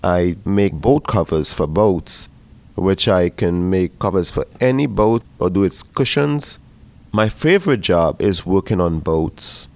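A middle-aged man talks through his life story in a personal interview. His voice sits a little low, and he speaks in an earnest, unhurried, plain-spoken way. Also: lively intonation, a little mellow. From Trinidad and Tobago. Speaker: church facilities worker.

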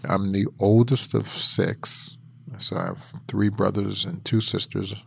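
Gravelly, deep-voiced man recalling his life. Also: little pitch movement, a bit bright, no echo. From Pittsburgh, USA. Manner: measured, thoughtful storytelling. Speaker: interviewee. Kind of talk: reflective oral history interview.

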